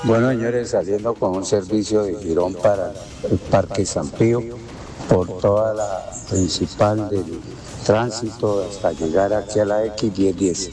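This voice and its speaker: A man's voice: lowish voice, fairly even intonation, measured pace. A Colombian man, a working driver, sending a courteous, colloquial dispatch-style report. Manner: courteous, devout. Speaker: driver phoning in a traffic report. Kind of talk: colloquial radio dispatch message. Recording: distant radio message under music.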